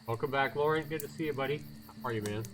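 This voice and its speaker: A man hosting a casual livestream of a visual novel, talking to viewers in a rambling, halting way and reading game text aloud. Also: mid-range voice, a bit bright, a little hiss or hum.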